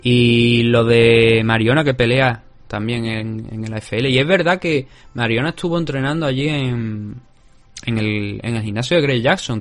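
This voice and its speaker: A male podcast host with a Spanish accent, talking in a loose, chatty way. He rambles, restarts his sentences and keeps circling back to his point. His voice sits at an ordinary, average pitch. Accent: Spanish from Spain. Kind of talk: casual chat. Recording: remote call.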